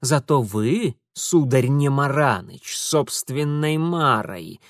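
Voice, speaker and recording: A man voicing a proud, quick-tempered young nobleman who speaks imperiously and indignantly. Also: medium pitch, lively intonation, no echo, studio quality. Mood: haughty.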